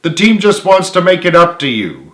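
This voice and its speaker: A man's voice: high-pitched, big pitch swings, moderate pace, fairly bright tone, voice pushed up. A man voicing a caring orphan boy who speaks sincerely and pleadingly in a storybook reading. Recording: roomy, echoey home recording.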